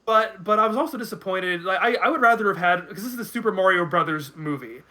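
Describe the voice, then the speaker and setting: A man in his thirties with a bright, forward voice pitched a little high. He speaks as a guest in a casual podcast chat, hesitating and repeating words as he thinks aloud. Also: lively intonation, quick pace. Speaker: guest commentator.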